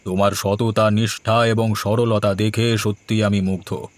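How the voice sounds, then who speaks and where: A man voicing a solemn, humble holy hermit in a cartoon, measured, formal and admonishing. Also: fairly low pitch, level tone, dry recording, natural voice. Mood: approving, calm. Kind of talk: Bengali moral-tale cartoon voice acting.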